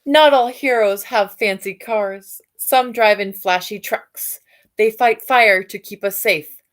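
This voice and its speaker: Woman, children's storyteller, slow careful pace, mid-range pitch, expressive read-aloud, musing asides. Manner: expressive reading, alternating with softer musing asides and hums. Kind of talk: picture-book read-aloud with questions to young listeners.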